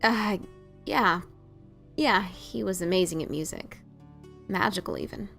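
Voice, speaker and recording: A woman's voice: medium pitch, unhurried, gravelly, a bit bright, faint music behind. A woman playing a brash but self-doubting pegasus pony adventurer, with hesitant, stammering, casual delivery in an audio drama. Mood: awkward. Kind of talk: audio drama dialogue of fan fiction.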